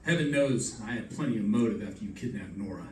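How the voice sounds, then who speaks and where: Guy playing cool wry radio detective, medium pitch, echoey stage with some hiss. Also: lively intonation, fast talker, darkish tone, close to an everyday voice. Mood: wry confidence. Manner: wry, assured, matter-of-fact. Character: unflappable wry male detective reconstructing a murder. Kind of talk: live old-time radio drama acting. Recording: echoey stage, distant mic, background noise.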